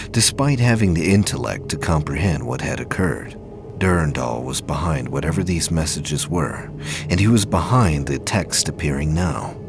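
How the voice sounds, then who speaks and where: A man's voice: rumbling low, creaky. A man narrating a sci-fi audio play in an intense, suspenseful, scripted dramatic style.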